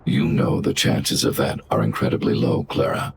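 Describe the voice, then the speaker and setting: A man playing a calm, logical robot guardian in a video game, speaking in a measured, formal, emotionless way. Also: very deep voice, vocal fry, background noise. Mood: flat, analytical. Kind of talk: scripted video game voice acting.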